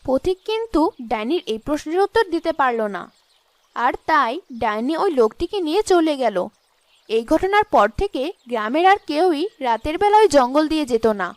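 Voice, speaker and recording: A woman's voice: high voice, big pitch swings, normal speed, a little mellow, no echo. A woman narrating a scripted cartoon story as its storyteller.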